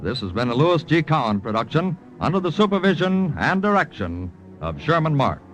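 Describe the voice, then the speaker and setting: A man's voice: on the high side, very animated, a little fast, low-quality recording. A man announcing formally from a script in the style of mid-century American radio. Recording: old radio recording, music behind.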